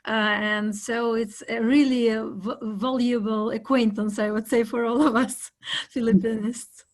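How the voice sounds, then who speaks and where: A woman's voice: a little high, steady tone, unhurried. A woman chairs an online academic panel, politely inviting questions and reading them out over a video call. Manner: polite, formal, gently prompting. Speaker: panel moderator.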